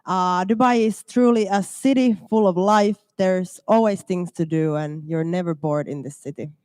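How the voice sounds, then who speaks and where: A woman's voice: fairly low pitch, normal speed, warm tone, smooth, dry recording. A woman in her twenties, a student panelist who speaks enthusiastically. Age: 20s. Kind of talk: panel discussion.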